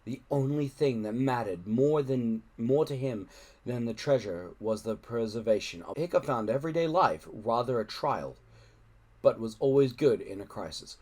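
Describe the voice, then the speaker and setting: A man reading a children's adventure book aloud in an expressive narrating style. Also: medium pitch, moderate pace. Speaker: audiobook-style reader.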